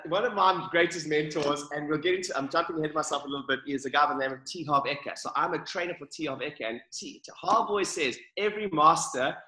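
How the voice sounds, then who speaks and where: Upbeat South African man, bright voice. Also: a little high, fast, hardly any pauses, roomy sound, low-quality recording. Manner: enthusiastic, upbeat, grateful. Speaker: podcast guest. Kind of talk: casual interview.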